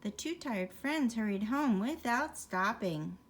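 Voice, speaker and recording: A woman's voice: on the high side, animated, slow pace, background noise. A woman reading a rhyming children's bedtime story expressively, with chatty asides to young listeners.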